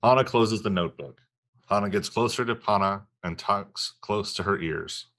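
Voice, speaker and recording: A man's voice: fairly low pitch, normal speed, a bit bright. A man narrating screenplay stage directions in a scripted table read.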